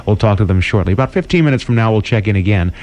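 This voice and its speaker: A man with an American accent working as a radio DJ, presenting smooth jazz and short information updates as morning host. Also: lowish voice, expressive, rapid-fire, darkish tone, highs cut off.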